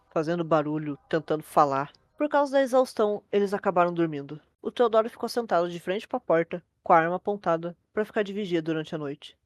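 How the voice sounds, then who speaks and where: A woman's voice: fairly low pitch, dry recording. A woman with a Brazilian accent, hosting and narrating a creepypasta story. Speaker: host and narrator.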